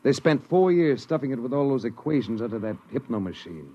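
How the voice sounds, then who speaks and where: An American man playing a cynical, slangy spaceship crewman with a rough voice in 1950s radio style. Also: mid-range voice, lively intonation, brisk, mellow, lightly acted. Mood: sardonic. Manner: slangy storytelling. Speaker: actor in a radio drama. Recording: muffled vintage radio recording.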